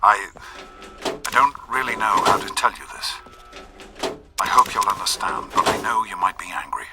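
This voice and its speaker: A gentle, middle-aged father played by a man speaks in a low voice over clearly audible noise. He is measured and hesitant, a loving doctor weighed down by regret, in an earnest, restrained video game drama style. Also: lightly acted. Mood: hesitant, confessional.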